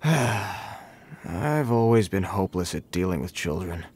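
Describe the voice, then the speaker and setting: Casting: earnest game patrolman, hesitant and formal, very varied pitch, slight background noise. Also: animated, takes it slowly, a little mellow. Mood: flustered, resigned. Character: earnest adult male patrolling guard, well-meaning and awkward. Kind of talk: video game character acting.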